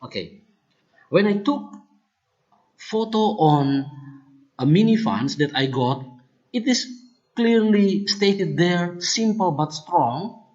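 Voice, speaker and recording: Speaker w/ indonesian accent, kupang malay native, walks through research points slow and careful, keeps saying "look", lo-fi sound. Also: medium pitch, expressive, a little mellow. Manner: deliberate and explanatory, often starts points with "look" or "now". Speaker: researcher presenting findings. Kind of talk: academic presentation on language errors.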